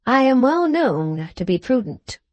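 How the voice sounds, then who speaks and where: A woman voicing a composed male soldier in a novel, speaking in a dry, measured manner. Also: a little high, very animated, a little mellow. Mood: composed, wry.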